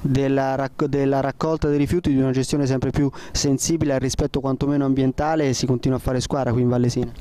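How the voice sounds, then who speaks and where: A man's voice: mid-range voice, level tone, fast talker, few pauses, warm tone. A man working as a local TV reporter, introducing the report and putting questions. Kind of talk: news introduction and interview questions.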